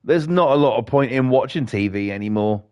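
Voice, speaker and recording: A middle-aged British man chatting and ranting with lots of swearing while he plays a game and talks to viewers, his voice a bit croaky. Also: medium pitch, expressive, fairly quick, darkish tone, dull audio. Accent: British English. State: slightly croaky. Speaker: gaming livestreamer. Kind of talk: casual chat while playing a game.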